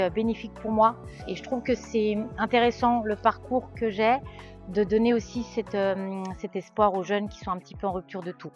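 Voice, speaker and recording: A woman's voice: medium pitch, a little mellow. A woman in her forties giving a personal interview testimonial as a volunteer mentor. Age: 40s. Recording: slightly dull sound, music behind. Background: music.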